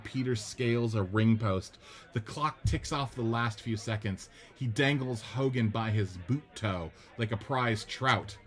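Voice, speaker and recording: A man narrating the stage directions of a radio play script in a steady, descriptive way. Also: medium pitch.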